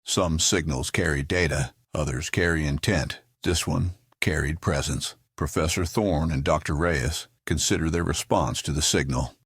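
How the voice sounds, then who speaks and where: A man acting as the grave narrator of a sci-fi audio drama, gravelly and husky, with a solemn, portentous delivery. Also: very deep voice, normal speed, few pauses, no echo, studio quality, heavily acted. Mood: solemn, ominous.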